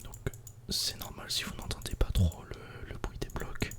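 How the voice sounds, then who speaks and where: A man softly whispering casual, informal ASMR commentary while playing a video game. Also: normal speed. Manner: soft-spoken, casual, informal.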